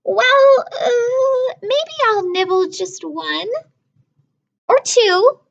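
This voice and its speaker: A woman voicing a small, fussy, stubborn little girl in a storybook, with emphatic, drawn-out delivery. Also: very high-pitched, vocal fry, dull audio, voice pushed up, heavily acted. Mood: reluctant, wavering. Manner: emphatic, declarative, drawn-out refusals.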